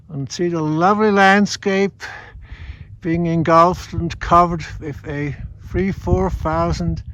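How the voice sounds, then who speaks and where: A man with a Swiss accent, explaining geology outdoors on a mountain hike in a measured, teaching way. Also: a little high, a bit bright, dull audio, a little hiss or hum. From Switzerland. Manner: measured explanatory, slightly non-native phrasing. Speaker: hiking geology guide. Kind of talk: outdoor geology field narration.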